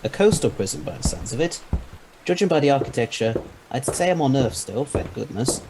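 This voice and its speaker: A man's voice: medium pitch, animated, fairly quick, noisy background, close to an everyday voice. A man playing an eccentric, confident scientist time traveller in an audio drama, speaking articulately with a mildly superior air. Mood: troubled.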